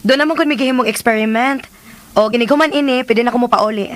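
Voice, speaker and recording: Actress doing a sweet teasing young wife for a radio play, highish voice. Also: female voice, fast, no room sound, natural voice. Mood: playful, affectionate. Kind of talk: radio drama acting.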